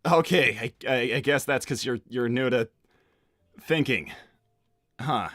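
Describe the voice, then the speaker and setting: Man voicing casual bright-voiced young cartoon hero, slightly husky. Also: mid-range voice, unhurried, no room sound, studio quality. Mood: hesitant, easygoing. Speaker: performer voicing game characters on a fan livestream. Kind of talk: improvised in-character livestream roleplay.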